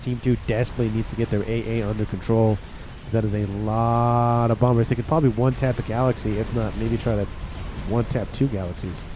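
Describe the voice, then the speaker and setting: A man's voice: lowish voice, quick pace, background noise. A man with a nasal, stuffed-up voice from a cold, casually commentating a strategy game and talking to his viewers. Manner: relaxed, rambling, talks to viewers. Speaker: game caster.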